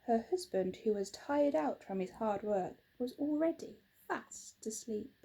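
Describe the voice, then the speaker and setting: Unhurried woman reading a children's story. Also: a little high, animated, gravelly, dark tone, breathy edge. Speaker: storybook reader. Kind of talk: scripted children's story narration.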